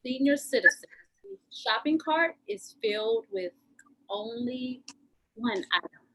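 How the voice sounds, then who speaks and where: Woman narrator, slightly high voice. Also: sing-song, measured pace, vocal fry, a bit bright, a little hiss or hum, poor audio. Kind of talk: scripted narration.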